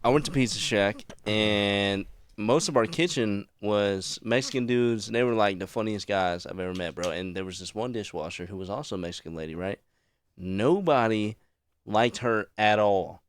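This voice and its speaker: A man's voice: lowish voice, moderate pace, smooth. A man in his twenties chatting on a podcast, telling stories in slang. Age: 20s. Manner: storytelling, slangy, says bro a lot. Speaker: podcast guest. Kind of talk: casual chat.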